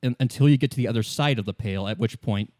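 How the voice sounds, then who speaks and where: A man narrating as game master, explaining fictional lore in a measured, solemn and deliberate storytelling manner. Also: mid-range voice, a little mellow, dry recording, studio quality. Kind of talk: improvised lore exposition in a tabletop game.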